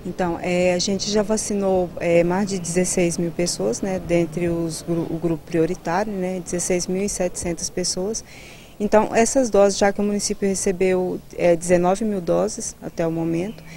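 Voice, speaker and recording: Mellow, halting Brazilian woman explaining. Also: fairly low pitch, a little hiss or hum. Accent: Brazilian Portuguese. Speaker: public health immunization director. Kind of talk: interview answers, explanatory. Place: outdoors in a building's garden. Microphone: handheld reporter microphone held toward her.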